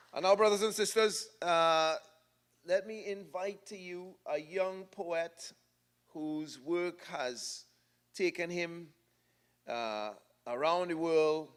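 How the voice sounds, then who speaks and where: A man hosting a public lecture, introducing guests in a relaxed, chatty way with fillers. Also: a little high, clear, resonant tone. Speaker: event host.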